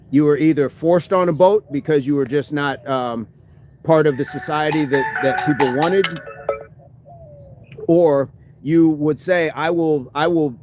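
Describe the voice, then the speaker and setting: A man's voice: medium pitch, darkish tone. A man hosting a fitness livestream, casually chatting and reading viewer comments, laughing often and thanking people by name.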